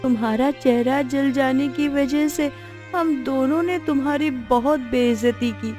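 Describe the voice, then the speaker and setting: Woman playing a high-voiced, sorrowful village woman. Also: measured pace, warm tone, soft background music. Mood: remorseful. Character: village women of a moral tale, sorrowful and self-blaming. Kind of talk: Hindi cartoon story voice acting.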